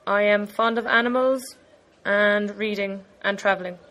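A gentle, modest woman from the west of Ireland, describing herself. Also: mid-range voice, steady tone, normal speed. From Galway, Ireland. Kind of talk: self-introduction.

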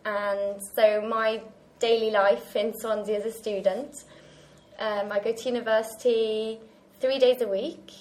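A woman's voice: a little high, flat delivery, unhurried, a little mellow, low-quality recording. A young woman from Wales, a university student chatting casually and laughing often. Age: young adult. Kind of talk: casual chat.

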